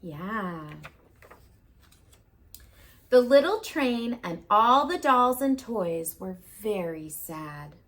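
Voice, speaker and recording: A woman reading a picture book aloud to preschoolers, gently asking the children questions along the way. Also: mid-range voice, sing-song, drawn-out words, pauses often.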